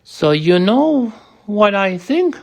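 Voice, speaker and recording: A gentle storybook elephant, as a man reads him, polite and protective. His delivery is slow and very animated, with an earnest, sincere manner. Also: sits high, gravelly, pitched above the performer's own voice, exaggerated voice. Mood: kindly, curious.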